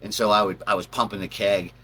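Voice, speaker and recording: A man's voice: fairly low pitch, fairly even intonation, fast, bright, forceful tone. A man telling personal stories in a casual, rambling way, acting out bits as he goes. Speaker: storyteller, channel host.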